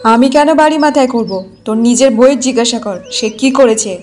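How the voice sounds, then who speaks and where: A woman voicing a spiteful older mother-in-law in a cartoon folk tale, nagging and accusing with a sharp tongue. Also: fairly high pitch, brisk, soft background music, lightly acted. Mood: complaining.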